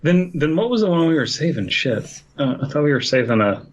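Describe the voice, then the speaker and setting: A man's voice: fairly high pitch, sing-song, fairly quick. A man co-hosting a podcast, chatting casually and joking with friends. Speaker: podcast host. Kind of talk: casual joking group chat.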